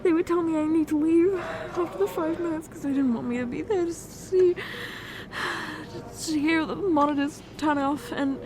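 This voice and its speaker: A woman voicing a distraught young fiancée in an audio roleplay, talking haltingly with stammers and repeated words in a shaky, tearful voice. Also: high voice, lightly acted. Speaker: ASMR roleplay performer.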